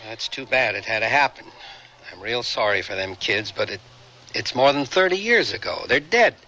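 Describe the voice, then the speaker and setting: An actor playing a blunt, defensive American working-class war veteran in a radio drama, talking colloquially and edgily. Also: male voice, on the low side, animated, normal speed, bright, forceful tone. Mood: dismissive. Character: gruff, defensive working-class Vietnam veteran, dismissive and edgy.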